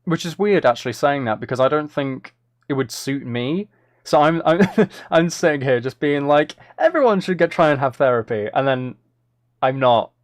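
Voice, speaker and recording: A man's voice: on the high side, animated, moderate pace, no echo, clean studio sound. A man chatting casually on a live stream, playful and self-deprecating, thinking aloud as he works. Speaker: live streamer and music maker.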